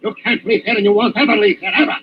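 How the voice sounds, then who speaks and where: An actor playing a gloating, menacing villain given to panic, in melodramatic old-time radio drama style. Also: male voice, high voice, quick pace, lightly acted. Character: gloating male villain turning to panicked terror. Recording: dull, noisy old radio recording.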